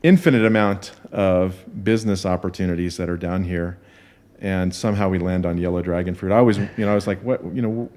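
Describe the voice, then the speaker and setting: Low-voiced man in his fifties. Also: steady tone, a little mellow. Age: 50s. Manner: unhurried, thinks aloud as he explains. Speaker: podcast guest. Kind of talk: podcast interview.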